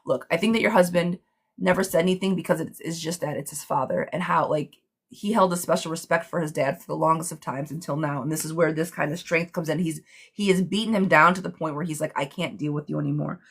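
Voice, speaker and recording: Hoarse woman w a cough doing a live tarot read, lowish voice, chatty and reassuring. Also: fairly even intonation, quick pace. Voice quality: hoarse, smoky. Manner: chatty, reassuring, calls listeners girlfriend. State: sick with a cough. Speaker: tarot reader. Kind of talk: live tarot reading for viewers.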